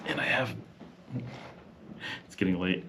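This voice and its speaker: Man, relaxed livestream host, chatty, reads comments out, casual and loose. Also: lowish voice, measured pace, noisy background. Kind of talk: casual live Q&A chat.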